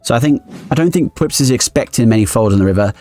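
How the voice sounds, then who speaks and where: A man streaming his poker games live, thinking aloud quickly and analytically as he explains each decision. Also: mid-range voice, expressive, mellow, soft background music.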